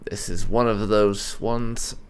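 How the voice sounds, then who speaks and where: A man's voice: lowish voice, steady tone, slow. A man hosting a roleplay game playthrough, commenting in a theatrical, musing way. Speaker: gaming host.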